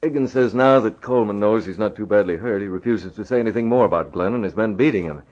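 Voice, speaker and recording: An actor playing a resolute, shrewd masked vigilante in an old radio drama. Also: male voice, on the low side, fast, a little mellow, close to an everyday voice. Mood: indignant, determined. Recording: dull old radio recording.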